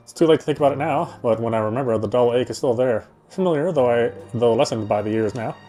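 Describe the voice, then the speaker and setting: A man streaming a game, chatting casually and reading its story aloud, often halting and correcting himself. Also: medium pitch, mellow. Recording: faint game music behind.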